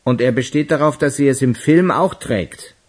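A man acting in a German radio crime drama as a worried, hard-bitten criminal who speaks grimly and deliberately. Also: mid-range voice, natural voice.

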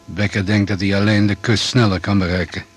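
An actor playing a matter-of-fact doctor who survived a crash, measured and no-nonsense, in a Dutch radio play. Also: male voice, on the low side, flat delivery, breathy edge. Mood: grave, factual.

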